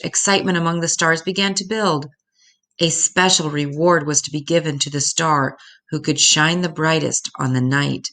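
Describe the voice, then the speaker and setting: A woman narrates a picture book for children in a low, gravelly voice. Her delivery is expressive storybook reading, with creak at the edges of the voice.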